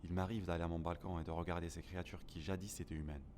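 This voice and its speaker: A man's voice: low-pitched, fairly even intonation, quick pace, darkish tone, slight background noise, close to an everyday voice. A man playing a lonely, grieving survivor of a plant apocalypse, narrating a first-person audio drama in a somber, melancholic, dramatic way. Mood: mournful, wistful.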